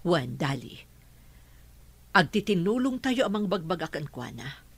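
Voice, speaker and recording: A Filipino actress in a radio drama playing a concerned middle-aged mother and lady of the house, measured and earnest. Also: fairly low pitch, big pitch swings, no echo, full character voice. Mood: worried, earnest. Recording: clearly noisy, ambient background.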